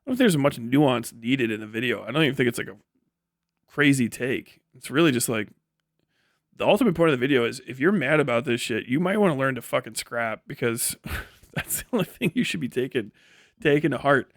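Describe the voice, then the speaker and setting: A man talks off the cuff as a livestream host, in a medium-pitched voice. He is blunt, profane and sarcastic, commenting casually as if answering a chat. The sound is dry, with very little room in it. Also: animated, a little fast, dry recording. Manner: sarcastic, acts out mock back-and-forth dialogues. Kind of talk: casual political commentary, riffing to live chat.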